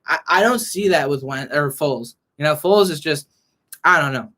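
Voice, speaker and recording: Heated high-voiced young fan, going hoarse. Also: male voice, moderate pace, sharp tone. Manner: frustrated, heated rant. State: hoarse, losing his voice. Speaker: sports fan vlogger. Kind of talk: ranting fan reaction, reading chat.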